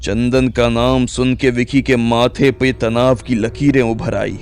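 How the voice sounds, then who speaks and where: A man's voice: mid-range voice, level tone, a little slow, darkish tone, a little hiss or hum. A man narrating a scripted audio drama story as its storyteller. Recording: music bed under the voice.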